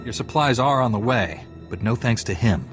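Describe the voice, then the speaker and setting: An actor playing a steady, principled Jedi knight in a video game, speaking in a measured, firm manner. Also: male voice, medium pitch, over music, lightly acted. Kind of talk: dramatic video game acting.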